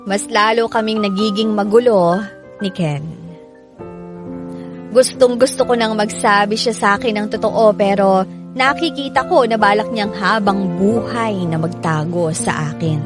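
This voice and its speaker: A woman's voice: mid-range voice, lightly acted. A woman playing a troubled wife confiding her doubts in a reflective, sorrowful radio drama monologue. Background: prominent background music.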